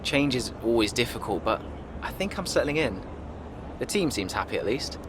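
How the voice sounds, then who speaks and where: A man acts a confident young racing driver in a game cutscene. His delivery is casual and understated, yet the pitch moves a lot. A clear rumble sits behind him. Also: sing-song, moderate pace, natural voice. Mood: cocky, guarded. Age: young adult. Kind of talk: naturalistic video game cutscene acting.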